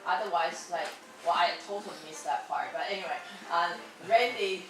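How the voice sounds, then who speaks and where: A woman teacher giving classroom feedback in a fairly monotone voice, often checking "okay?" as she goes. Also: lowish voice, normal speed, background noise. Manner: ends many sentences with a checking "okay?". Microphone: far from the mic. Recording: echoey room, far off, loud music behind. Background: loud music.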